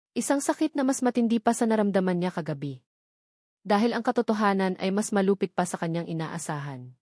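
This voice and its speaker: A woman narrating a scripted romance story in a measured, melodramatic way. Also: medium pitch, a little mellow, no echo, studio quality.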